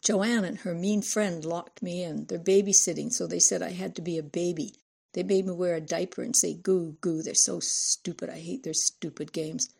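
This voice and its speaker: A woman's voice: fairly low pitch, fairly even intonation, moderate pace, gravelly, darkish tone, breathy edge. A woman voicing an earnest, aggrieved little girl with a childlike, complaining manner.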